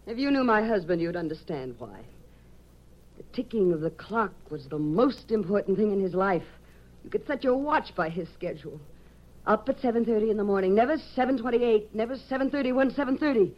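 A woman's voice: mid-range voice, lively intonation, brisk, lightly acted. Bitter, accusing wife played by a woman, old radio. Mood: brooding. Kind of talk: 1950s radio drama acting. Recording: dull 1950s radio recording.

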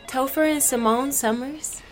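A woman acting as a careful, professional hospital medic in an audio drama, measured and clinical. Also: sits high. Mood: grave, careful.

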